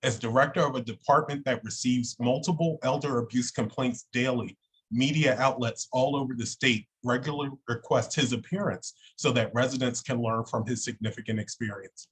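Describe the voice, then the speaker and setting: A man's voice: mid-range voice, fairly even intonation, normal speed, slightly rough. A man hosting a town hall, thanking one speaker and reading the next speaker's introduction courteously. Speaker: host and moderator.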